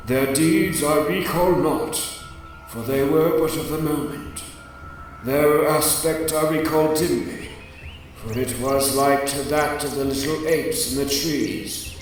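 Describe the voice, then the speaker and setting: A man voicing an ancient, wise demon of memory in a dramatic reading, with grave, slow declamation. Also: mid-range voice, pitched above the performer's own voice, lightly acted. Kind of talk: dramatic reading of a gothic tale. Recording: cavernous echo, distant, noisy ambience.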